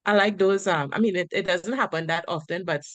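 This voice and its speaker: Woman, host, lower register, chatty storyteller, dry sound. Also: fairly quick, dry recording. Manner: anecdotal storyteller, tags sentences with "right?". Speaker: Spanish teacher and interview host. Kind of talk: casual conversational interview.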